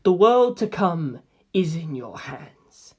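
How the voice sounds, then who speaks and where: A woman voicing a calm, cryptic fifteen-year-old boy in a storybook reading, soft-spoken and breathy, with measured, knowing delivery. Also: fairly low pitch, heavily acted. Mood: gentle, solemn.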